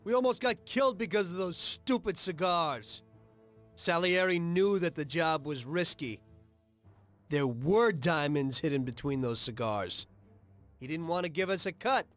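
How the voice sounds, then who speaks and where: Higher-voiced betrayed mobster. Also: male voice, expressive, unhurried, a bit bright, soft background music. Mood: persuading. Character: betrayed mobster, defiant, wounded, principled. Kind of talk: dramatic video game cutscene acting.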